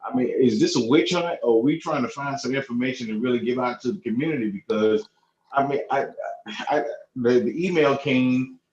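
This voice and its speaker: A man speaking as a board member in a formal meeting, halting and repeating himself in a conciliatory way. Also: mid-range voice, darkish tone. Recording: muffled, echoey online meeting audio.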